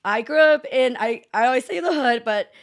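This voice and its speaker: A young woman from El Paso with a fairly high voice chats as a podcast guest. She talks on and on, laughs often and curses freely. She is recorded in a living room. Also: expressive, moderate pace. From El Paso, Texas. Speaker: guest, entrepreneur and former teacher. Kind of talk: casual podcast chat.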